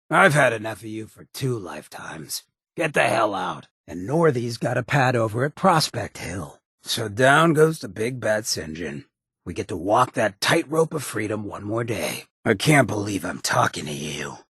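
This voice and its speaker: Super husky swaggering outlaw mayor from a video game, slangy, cocky, really swingy delivery, dry close recording. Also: male voice, medium pitch, a bit bright, no echo, exaggerated voice. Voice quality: raspy, gravelly, husky. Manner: slangy, cocky, streetwise drawl. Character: roguish, swaggering mayor of a lawless town, cynical charmer. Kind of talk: video game character dialogue.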